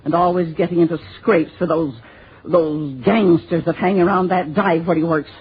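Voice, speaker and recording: An American actress playing a bitter, greedy middle-aged spinster schoolteacher with shrill, spluttering indignation in a 1950s radio drama. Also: female voice, fairly even intonation, normal speed, slightly rough. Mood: indignant, bitter. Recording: dull, lo-fi old radio recording.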